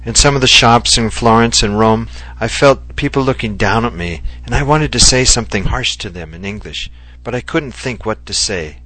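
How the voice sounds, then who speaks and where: A man voicing a gentle, loving Japanese wife who speaks earnestly and reflectively. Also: mid-range voice, animated, normal speed, rough recording. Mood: earnest, tender. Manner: earnest and heartfelt, softly reflective.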